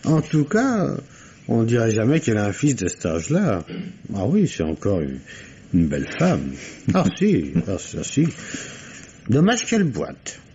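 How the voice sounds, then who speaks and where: A man's voice: mid-range voice, huge pitch swings, unhurried, warm tone. A man acting a droll police assistant in an old radio mystery, informal and wry as he talks to his boss. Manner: informal, droll, drawling asides. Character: wry, familiar male police assistant to the commissioner. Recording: dull, noisy old radio drama recording.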